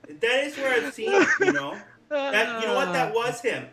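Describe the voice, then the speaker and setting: A man hosting a live trading stream, teasing a co-host in casual banter that swings up into loud mock rants. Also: very high-pitched, moderate pace, creaky, distant mic. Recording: echoey room, off mic, crowd noise.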